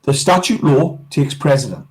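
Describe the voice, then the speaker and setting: A man with a slightly high voice patiently laying out rules as a talk show guest. He is steady and explanatory, even when the talk gets heated. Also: normal speed, poor audio. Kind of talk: explaining in casual chat.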